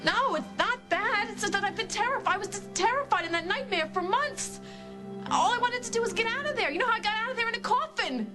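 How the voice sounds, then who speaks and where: A very high-pitched woman's voice plays a proud, ashamed soap opera heroine who is frightened of ageing fast. She pleads haltingly and emotionally. Dramatic TV music plays prominently under her words. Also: lively intonation. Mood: shaken.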